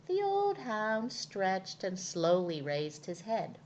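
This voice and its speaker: Woman, storytime reader, expressive children's narration, unhurried, lively intonation. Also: medium pitch, slight background noise.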